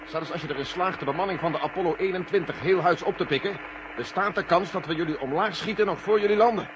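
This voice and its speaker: A man's voice: sits high, fast, close to an everyday voice. A male actor playing an earnest military general in a Dutch radio drama, reasoning with urgency. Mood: stern. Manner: urgent, persuasive. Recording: muffled old radio recording, loud noise.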